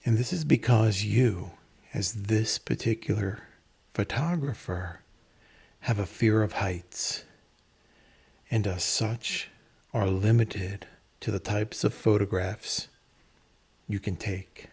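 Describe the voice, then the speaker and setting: A man leading a guided meditation in a measured, instructional manner, pausing between phrases, with a breathy, husky voice. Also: lowish voice, darkish tone.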